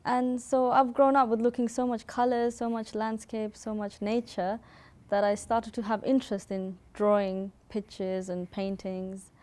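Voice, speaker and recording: A woman's voice: a little high, flat delivery, measured pace, a little mellow. A woman from Sri Lanka answering as an interview guest in a casual, informal way, sometimes searching for words and repeating short phrases. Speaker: interview guest, artist and photographer.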